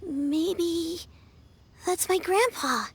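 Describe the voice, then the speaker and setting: A sweet young girl from a fantasy game. Her voice is very high and thoughtful, with faint noise behind it. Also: very slow, heavily acted. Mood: pondering. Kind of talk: video game character acting.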